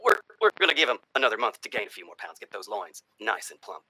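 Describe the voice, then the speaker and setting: Man playing a sharp, folksy, sinister campaigning politician. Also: on the low side, sing-song, slightly rough, no room sound, full character voice. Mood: sinister, gleeful. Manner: folksy, rhetorical, dramatic pauses and hushed asides. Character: folksy, sinister cultist presidential candidate, genial and menacing. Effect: vintage old-time radio treatment. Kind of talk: theatrical campaign stump speech, satirical horror monologue.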